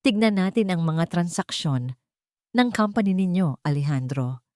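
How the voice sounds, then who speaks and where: Woman plays elderly male lawyer, grave, resolute and deliberate, in a dry-recorded audio romance. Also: fairly low pitch, lively intonation, a little mellow, dry recording, close to an everyday voice. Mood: grave, urging. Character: serious old male lawyer, fatherly and resolute.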